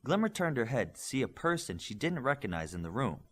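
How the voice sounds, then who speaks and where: A man's voice: medium pitch, very animated, brisk, fairly bright tone. A man reading scripted fiction as an audiobook narrator.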